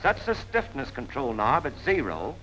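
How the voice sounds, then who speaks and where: A man's voice: medium pitch, very animated, normal speed, sharp tone. An American man gives plain-spoken, punchy instruction in the style of a 1940s military training film narration. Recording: noisy 1940s film soundtrack.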